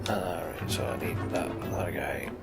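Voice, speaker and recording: A man's voice: low-pitched, moderate pace, vocal fry, over loud music. A man giving casual, muttered gameplay commentary and reading story text aloud, as a let's play host.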